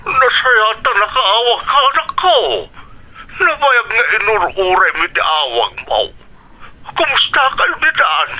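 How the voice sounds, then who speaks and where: A man's voice: high-pitched, big pitch swings, a little slow, lo-fi sound. An actor with a Filipino accent playing a worried, tender elderly father on the telephone in an Ilocano radio drama. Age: older. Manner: fretful, fatherly, exclaiming.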